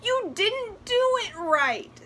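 A woman voicing a bratty little girl doll come to life in a storybook, shrill, demanding and squealing out commands. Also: big pitch swings, takes it slowly, bright, forceful tone, a little hiss or hum, exaggerated voice. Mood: angry, whiny.

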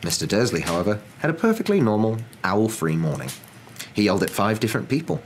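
A young man narrating a storybook in an expressive, theatrical and deliberate ASMR reading style. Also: fairly low pitch. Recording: clear steady background ambience noise.

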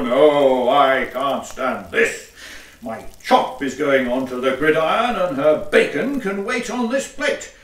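An excitable, pompous gentleman hatter from a Victorian farce, played by a man. His theatrical, declamatory voice swoops enormously up and down. It sounds roomy and echoing, set back from the microphone in this audio play. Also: fairly high pitch, far from the mic, exaggerated voice. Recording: roomy echo, away from the mic.

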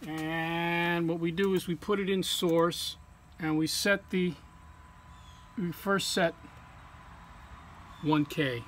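Higher-pitched man giving a hands-on demo, noisy. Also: steady tone, takes it slowly, long pauses, a bit bright. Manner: step-by-step, plain-spoken. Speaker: technician demonstrating equipment calibration. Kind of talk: informal hands-on tutorial. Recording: clear background hum or ambient noise.

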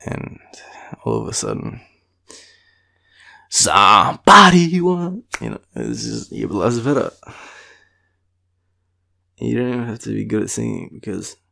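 A tired young Australian man rambling in an intimate boyfriend roleplay, with a husky, gravelly voice and a playful, teasing, halting manner. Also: on the low side, sing-song, sharp tone. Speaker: boyfriend roleplay audio performer.

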